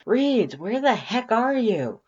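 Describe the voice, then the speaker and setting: A reader voicing a loud, brash, excitable woman from a video game in fan fiction, yelling bluntly, on a slightly muffled recording. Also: medium pitch, slow pace, husky, pitched above the performer's own voice, full character voice. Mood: loud, impatient.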